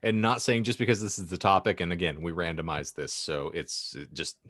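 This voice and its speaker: A man's voice: low voice, steady tone, a little fast, a bit bright, no room sound, studio quality. A man co-hosting a casual comedy podcast, talking in wordy, mock-grandiose phrases. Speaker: podcast co-host.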